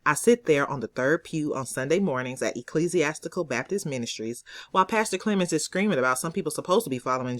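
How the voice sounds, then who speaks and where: A woman reading her own novel aloud as expressive first-person narration, in a conversational, sassy storytelling manner. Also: sits low, no room sound, studio quality.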